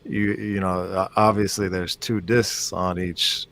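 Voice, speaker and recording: Low-voiced man chatting loosely. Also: flat delivery, measured pace, gravelly, a bit bright. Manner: rambling, many fillers and restarts. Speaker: guest musician. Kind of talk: casual chat.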